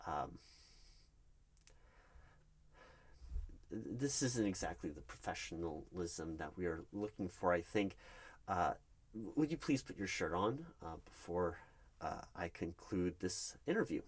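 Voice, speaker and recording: A man's voice: deep voice, lively intonation, unhurried, husky, full character voice. A man playing a nervous, flustered recruiting manager in an interview roleplay, stammering and awkwardly polite. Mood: uneasy, alarmed. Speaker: roleplay performer. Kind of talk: one-sided interview roleplay.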